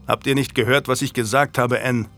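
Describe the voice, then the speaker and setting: A man's voice: mid-range voice, fairly even intonation, brisk, creaky, a bit bright, faint music behind. A man voicing a guilt-ridden noble knight who speaks urgently and insistently. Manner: urgent, pressing.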